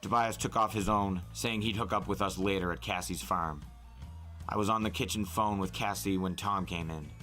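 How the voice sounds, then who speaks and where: A man reads a story aloud with expressive, audiobook-style narration. His voice sits fairly low but has a bright, forward edge, and music plays behind him. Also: moderate pace. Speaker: podcast host reading a novel aloud. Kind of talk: first-person audiobook-style narration with acted dialogue.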